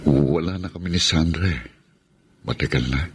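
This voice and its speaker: An actor playing a remorseful man in a radio drama, pleading in a halting, regretful way with his former lover. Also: extremely low pitch, slightly rough, exaggerated voice. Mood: hesitant, pleading. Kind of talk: Filipino radio drama acting. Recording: music behind the voice.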